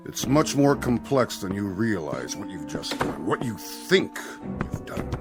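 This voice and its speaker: Death as a courteous but exacting official in a radio drama. The voice sits fairly low and moves expressively through formal, measured, bureaucratic phrasing. Faint music plays behind it. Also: male voice, sing-song. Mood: stern, affronted.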